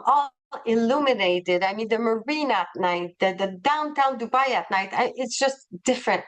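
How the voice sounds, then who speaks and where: A woman with a non-native English accent, presenting a tour itinerary to travel agents on a webinar. She is enthusiastic and often hesitates and restarts. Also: on the high side.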